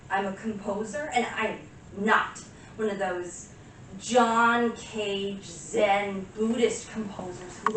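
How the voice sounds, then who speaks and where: An actress playing a neurotic, highly strung woman composer in a rambling, halting naturalistic stage monologue. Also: natural voice. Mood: irritated. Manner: rambling, exasperated, halting self-interruptions. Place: small live stage venue with audience. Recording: very echoey room, distant mic, faint noise.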